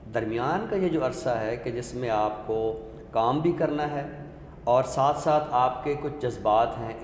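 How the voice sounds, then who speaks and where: A man explains literature as a talk show guest, measured and scholarly, often posing rhetorical questions. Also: medium pitch, a little mellow. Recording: echoey hall-like room with faint noise.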